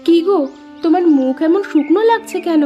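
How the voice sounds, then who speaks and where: A woman voicing a devoted village wife in a cartoon, fretful, tender and gently scolding. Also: extremely high pitch, brisk, dull audio, soft background music. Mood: concerned. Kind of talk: cartoon dialogue.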